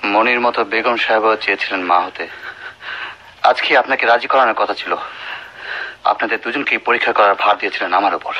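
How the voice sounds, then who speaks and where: Grave, forceful radio-play doctor. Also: male voice, lowish voice. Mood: grave, explaining. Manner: measured, solemn, reassuring. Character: grave, composed doctor revealing the truth. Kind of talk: 1980s Bengali radio drama acting. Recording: muffled old radio recording, music.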